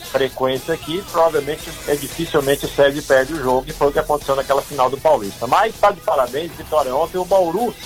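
A man with a slightly high voice who breaks down a basketball game in an easygoing, chatty way. He is a guest on sports radio, with faint music underneath. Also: fast talker, few pauses. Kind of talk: casual radio sports commentary.

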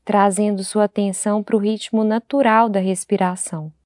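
Mellow Brazilian woman guiding meditation, dry sound. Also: medium pitch, fairly even intonation, no room sound. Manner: gentle, unhurried, with long pauses between phrases. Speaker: meditation guide. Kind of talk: guided meditation.

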